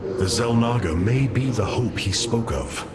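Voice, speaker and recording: A man's voice: mid-range voice, measured pace, heavy background noise, close to an everyday voice. A man playing a noble, resolute alien war leader in a game, speaking formally with grave command. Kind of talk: scripted game cutscene dialogue.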